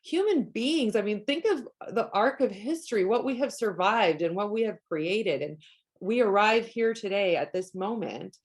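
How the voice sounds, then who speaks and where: Casting: Canadian-born woman, native Polish speaker, mid-pitched, earnest, chatty, rambles with restarts. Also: expressive, moderate pace. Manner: earnest, thinks aloud with many restarts and "like". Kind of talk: casual conversation.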